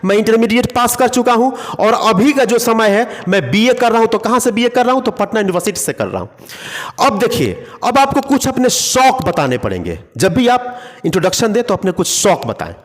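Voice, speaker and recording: A man teaching English phrases in a classroom lesson, slowly repeating them word by word. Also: high-pitched, sing-song, big-room sound.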